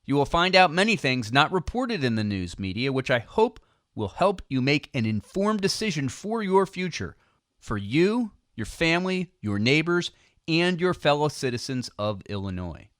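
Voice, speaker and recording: A man with an American accent reading a political audiobook in a measured, emphatic, persuasive way. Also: a little high, sing-song, a bit bright, no echo, studio quality. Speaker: audiobook narrator. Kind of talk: scripted narration of a political book.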